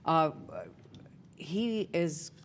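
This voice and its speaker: A woman's voice: lowish voice, very slow, a little hiss or hum. A woman hosting a TV studio interview, asking questions and murmuring agreement as her guest talks. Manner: prompting, many agreeing murmurs. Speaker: talk show host.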